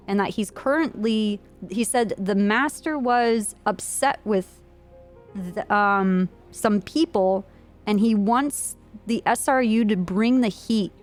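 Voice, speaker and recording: A woman's voice: medium pitch, a little mellow. Woman leading an in-game briefing, faint music. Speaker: streamer playing a police trooper in online roleplay, leading a meeting. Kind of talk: improvised in-character briefing, casual with fillers.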